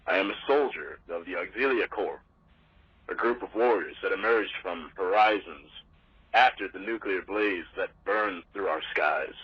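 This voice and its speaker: An actor playing a solemn, formal wasteland soldier with Roman pomp, declaiming through a voicemail filter in an audio drama. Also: male voice, on the low side, husky, dry recording. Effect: voicemail telephone filter. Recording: dull, muddy voicemail phone recording.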